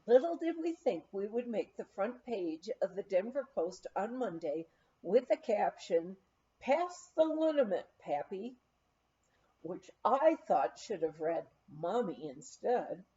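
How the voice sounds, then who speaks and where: A woman reading a memoir aloud in an expressive, measured storytelling manner. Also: mid-range voice. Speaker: audiobook reader. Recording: dull, lo-fi sound.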